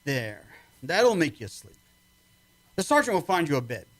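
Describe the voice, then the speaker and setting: A man acting a calm, skeptical military doctor in an amateur radio play. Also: fairly high pitch, moderate pace, no room sound. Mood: soothing.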